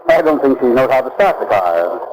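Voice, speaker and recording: An actor playing a polite, indignant gentleman being robbed in an old-time radio crime drama, protesting in a proper manner. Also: mid-range voice, big pitch swings, fairly quick, natural voice, low-quality recording. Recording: vintage radio broadcast recording.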